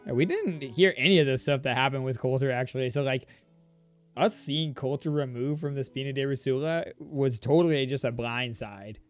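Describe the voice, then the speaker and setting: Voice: medium pitch, normal speed, dry recording, faint music behind. A streamer giving casual, informal reaction commentary on a game, talking expressively to the characters.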